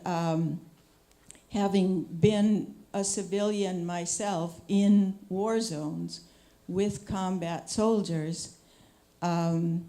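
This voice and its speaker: An older woman, a journalist on a panel, answering a question frankly and in a measured way, with many pauses. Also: on the low side, warm tone. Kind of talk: answering questions at a public talk.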